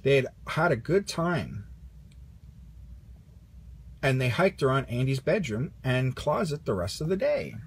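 A man reading a children's story aloud in an animated manner with a husky voice. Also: mid-range voice, measured pace, long pauses. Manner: animated, puts on character lines. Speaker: storybook reader.

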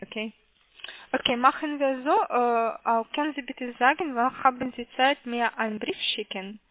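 A woman with a foreign accent making a halting sales phone call, hesitant and careful as she spells things out. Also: a little high, a little hiss or hum. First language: not German. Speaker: call centre caller. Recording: muffled, narrow phone line.

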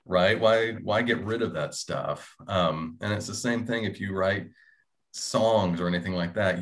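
A man in his thirties, a writer, talking casually as a panelist on a video call. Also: deep voice. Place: small indoor room.

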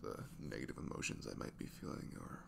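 A man playing a tired, sweet, easygoing working single dad in a soft-spoken, hesitant, rambling ASMR roleplay chat. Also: quick pace, a little hiss or hum, lightly acted. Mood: tender, rambling.

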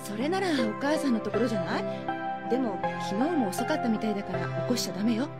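Woman voicing a haughty high-pitched schoolgirl big sister. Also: expressive, fast talker, loud music behind the voice, lightly acted. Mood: bossy, caring. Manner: commanding, self-assured, scolding. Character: proud, haughty high school girl, caring eldest sister. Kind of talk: Japanese anime audio drama acting.

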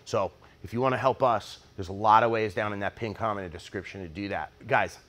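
A man's voice: on the low side, fairly quick, fairly bright tone. A man in his forties hosting a woodworking channel, talking casually and enthusiastically straight to camera in his shop. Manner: enthusiastic, upbeat, conversational with fillers. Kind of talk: casual direct-to-camera pitch. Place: mostly empty woodworking shop, indoors.

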